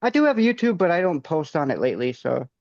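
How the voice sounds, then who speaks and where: Soft-spoken man in his forties. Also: a little high, big pitch swings, fast talker, gravelly, no room sound, lo-fi sound. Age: 40s. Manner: soft-spoken, hesitant, lots of ums. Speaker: podcast guest. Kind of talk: casual interview chat.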